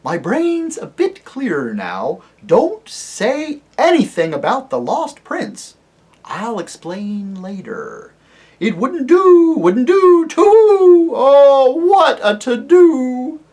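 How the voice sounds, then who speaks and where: A reader voicing a flustered owl courtier who hoots and shouts with strain, in a storybook reading. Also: sits high, very animated, slow, husky, voice pushed up, full character voice. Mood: whispering, fretful.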